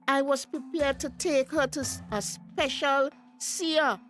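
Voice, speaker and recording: A village mother with a Tobagonian accent and a high voice. She is stern and suspicious, speaking with indignant emphasis in radio drama style. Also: fairly even intonation, unhurried, fairly bright tone, faint music behind. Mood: guarded.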